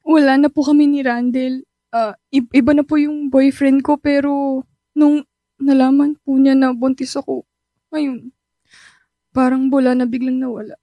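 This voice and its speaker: Woman acting a very high-pitched, hurting teenage daughter. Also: little pitch movement, warm tone, no room sound, close to an everyday voice. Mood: nervous, ashamed. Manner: pleading, halting, emotional. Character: distraught, resentful pregnant teenage daughter. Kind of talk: radio drama acting.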